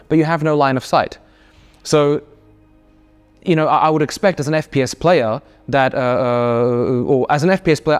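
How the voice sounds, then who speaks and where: A man explains technical ideas at an easy, conversational pace. He stumbles through fillers and restarts as he works out his points. He sounds like he is talking across a desk in an office interview. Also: mid-range voice. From Australia. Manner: explains with lots of fillers, restarts and hand gestures. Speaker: game developer. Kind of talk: casual interview answers. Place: indoor office studio.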